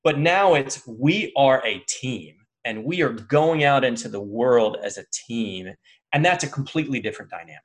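A man's voice: mid-range voice, lively intonation, normal speed. A man, probably in his thirties, speaking as an interview guest, thoughtful and earnest, often restarting his sentences with fillers. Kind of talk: reflective conversational interview.

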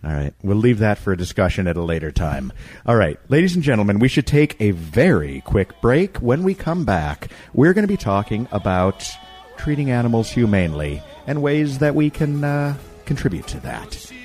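A man hosting a talk show with jokey, animated banter and conversational interviewing. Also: on the low side, moderate pace, slightly rough, faint music behind. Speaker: radio talk show host.

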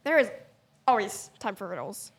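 A woman's voice: mid-range voice, very animated, normal speed, slightly rough. A woman playing a cheeky, riddle-loving comic book character in a youth audio play. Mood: playful.

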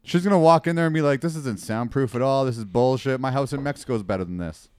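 A man's voice: medium pitch, fast talker, clean tone. A man bantering crudely with friends, joking, laughing often and slipping into mock voices.

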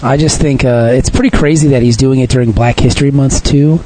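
A man's voice: mid-range voice, fairly even intonation, fairly quick, warm tone, rough recording. A man in his thirties chatting casually on a podcast, joking and laughing often. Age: 30s. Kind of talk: casual chat.

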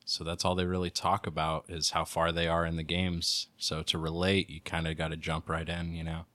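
A man with a very low yet bright, crisp-edged voice co-hosts a podcast, recorded close and dry. He chats casually and reasons things through in a laid-back way. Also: monotone, brisk, no room sound. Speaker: podcast co-host. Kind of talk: casual chat.

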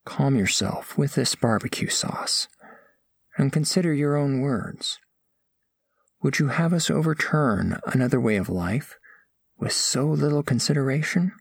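An earnest alien scholar, narrates an audio drama with formal, careful diction. The voice has a creaky grain and sits dry and close. The manner is gentle, reverent and observant. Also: male voice, medium pitch, expressive, dry recording, heavily acted. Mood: earnest, calming. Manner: measured, formal, precise diction. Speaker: first-person narrator of a story. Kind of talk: scripted audio fiction narration.

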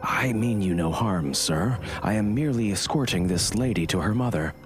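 A man voicing a gentle male alien creature who speaks formally and courteously. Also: fairly low pitch, animated, normal speed, music in the background, close to an everyday voice.

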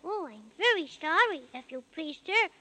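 A woman's voice: very high voice, expressive, moderate pace, bright, forceful tone, dry recording, heavily acted. A woman in a 1930s radio serial playing a polite, wide-eyed six-year-old boy, earnest and full of exclamations.

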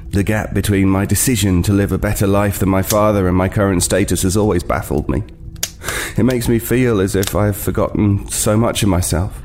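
An actor playing an uneasy homeless man who narrates his horror tale in a halting, brooding way. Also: on the low side, fairly even intonation, darkish tone, slight background noise, close to an everyday voice. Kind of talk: dramatic first-person horror narration. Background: music.

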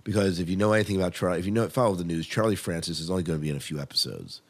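Very low-voiced man hosting a podcast. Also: racing. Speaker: podcast host. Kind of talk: casual TV review chat.

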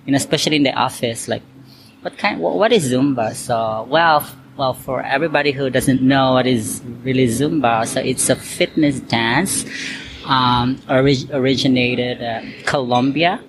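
A man's voice: medium pitch, normal speed. A man from Cebu in the Philippines, answering interview questions in a chatty, loosely phrased way full of "like" and "you know". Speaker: interviewee, accountant and Zumba instructor. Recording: noisy background hiss.

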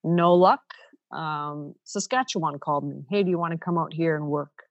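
Candid low-voiced woman, dull dry audio. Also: dry recording. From Canada. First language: Ukrainian. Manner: candid, storytelling with quick asides. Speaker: podcast co-host. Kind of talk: casual podcast conversation.

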